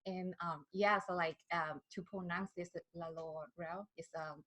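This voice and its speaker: Brief: woman, 30s, from southern China, husky lowish voice, halting and filler-heavy. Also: fairly even intonation, fairly bright tone. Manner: hesitant, many fillers and restarts. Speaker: skincare brand founder, guest. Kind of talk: casual interview.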